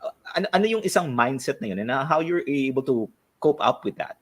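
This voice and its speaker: A Filipino man with a medium-pitched voice and a Filipino accent hosts an interview. He speaks conversationally, framing his questions with repeated words and little check-in tags. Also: lively intonation, fast. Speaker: talk show host, coach.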